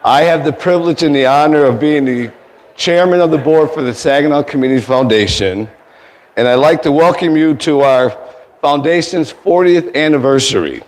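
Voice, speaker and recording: A man with a cold, his voice raspy, giving a formal, courteous welcome speech as chairman at an indoor event. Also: fairly high pitch, normal speed. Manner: courteous, thanking people one by one. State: getting over a cold. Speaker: foundation board chairman. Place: indoor museum event space. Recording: long hall reverb.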